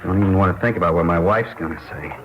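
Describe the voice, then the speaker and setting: An actor playing a worried store worker, fretful and hangdog, in a 1950s radio drama on a muffled vintage recording. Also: male voice, low voice, fast talker, a little hiss or hum. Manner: fretful, hangdog, muttering about his troubles.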